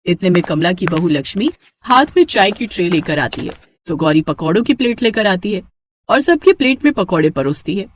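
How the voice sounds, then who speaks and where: A woman's voice: lowish voice, lively intonation, a little fast, a little hiss or hum. A woman narrating a scripted moral tale as a storyteller.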